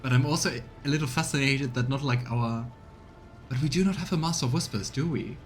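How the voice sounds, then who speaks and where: A man's voice: mid-range voice, a little fast. A man giving casual commentary as a game streamer during a let's play. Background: music.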